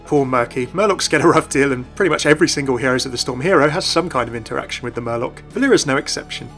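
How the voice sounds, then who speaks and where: A man's voice: mid-range voice, quick pace, a bit bright. A man hosting a video game channel, reading a scripted explanatory narration. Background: background music.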